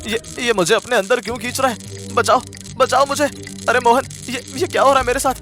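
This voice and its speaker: A man's voice: very high voice, steady tone, brisk, a bit bright, faint music behind, voice pushed up. A man voicing a domineering, greedy rich landlord in a cartoon tale, with an imperious, threatening manner. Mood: panicked. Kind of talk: Hindi cartoon villain dialogue.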